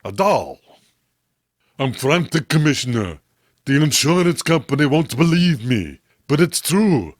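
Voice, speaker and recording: A man's voice: a little high, sing-song, unhurried, no echo. Desperate robbed man, performed by a male narrator, pleading horror comic style, very dry recording. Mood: frantic.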